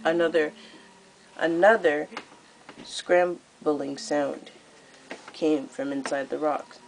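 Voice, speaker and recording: A teenage girl reading a story aloud as an amateur narrator, expressive but a little halting. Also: sits low, rough recording. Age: teens. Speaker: amateur audiobook reader. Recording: noticeable background noise.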